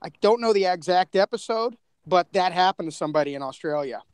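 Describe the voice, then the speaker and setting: Male podcast co-creator, high voice, dry sound, rambling casual storyteller. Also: expressive, a little fast, clear, resonant tone, no echo.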